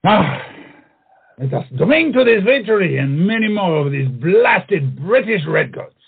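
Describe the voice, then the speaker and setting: A man's voice: high-pitched, big pitch swings, measured pace, vocal fry, husky, heavily acted. An actor playing a pompous, witty swordsman in a comic stage play, theatrical and mocking. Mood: triumphant. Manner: theatrical, mocking, peppers speech with French phrases. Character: pompous, flamboyant big-nosed French swordsman, witty and proud. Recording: muffled, tinny stage play recording.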